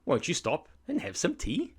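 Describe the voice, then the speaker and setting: A man's voice: on the high side, wildly swooping pitch, normal speed, slightly rough, voice pushed up, exaggerated voice. A man voicing a plucky, cheerful storybook tea lady. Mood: inviting. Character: brave, cheerful female tea seller in a storybook.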